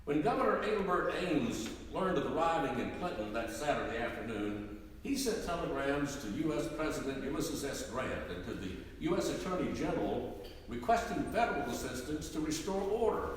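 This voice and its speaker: A man's voice: on the high side, sing-song, normal speed. A man narrating scripted history for a dramatic reading. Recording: very echoey hall, distant mic, faint noise.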